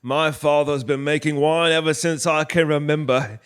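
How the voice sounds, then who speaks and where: A man's voice: on the high side, fairly even intonation, moderate pace, fairly bright tone, clean tone, natural voice. A man playing a proud, naive royal servant, deferential and earnest, in a comedic stage play. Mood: proud, amused. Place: theatre stage before live audience.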